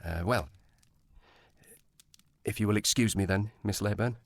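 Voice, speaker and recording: A man's voice: lowish voice, measured pace, creaky, no echo. A man playing a courteous, cautious provincial police sergeant with formal speech in a period audio drama. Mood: resigned, courteous.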